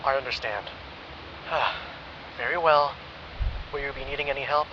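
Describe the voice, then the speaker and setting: A man playing a tired, caring, slightly formal ally who speaks in a measured, concerned way, on dull, noisy audio. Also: mid-range voice, fairly even intonation, natural voice.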